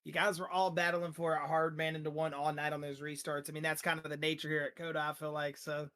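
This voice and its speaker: Brief: hoarse, high-pitched man, league broadcaster, chatty and full of fillers. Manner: energetic, rambling, drawn-out fillers. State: losing his voice. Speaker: sim racing league broadcaster. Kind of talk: post-race driver interviews.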